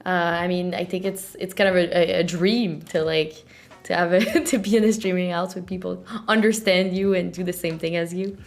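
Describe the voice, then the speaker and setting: A woman's voice: fairly low pitch, steady tone, normal speed. A woman hosting an interview, asking casual questions with frequent fillers and light laughter. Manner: giggly, hesitant, with many fillers. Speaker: host.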